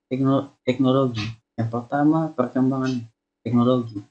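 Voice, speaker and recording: A young man reading a student slide presentation in a halting way. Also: mid-range voice, fairly even intonation, warm tone. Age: young adult. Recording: dull, lo-fi sound.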